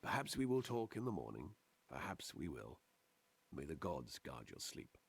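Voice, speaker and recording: A humble old storyteller from a fantasy game. His low voice is gravelly and husky, rising and falling widely as he muses with formal courtesy. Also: very animated, normal speed, full character voice. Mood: humble, weary. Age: older. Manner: formal, courteous, repeats phrases musingly.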